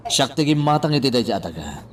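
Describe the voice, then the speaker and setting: Man acting a persuasive, highly animated radio character. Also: fairly quick, a crowd in the background, lightly acted. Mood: persuading. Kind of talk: Ilocano radio drama acting. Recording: distant mic, faint background noise.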